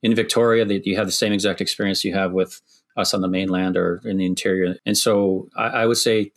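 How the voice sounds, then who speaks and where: A middle-aged man from Wisconsin with a Midwestern accent softened by years in Canada, answering interview questions thoughtfully with many restarts. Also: sits low, steady tone, brisk. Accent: Midwestern American, softened by years in Canada. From Wisconsin, USA. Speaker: construction executive guest.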